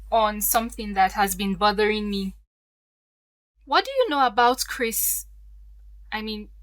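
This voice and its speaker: A woman's voice: on the high side, a bit bright. An actress playing a polite, anxious young woman in an audio drama, earnest and tentative in the way she talks.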